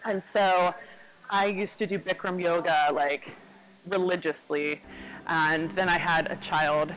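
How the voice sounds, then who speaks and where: A woman's voice: on the low side, fairly even intonation, slow, a bit bright, poor audio. A woman in her forties from Pennsylvania, an aerial arts student, speaking candidly in a casual interview. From Pennsylvania, USA. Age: 40s.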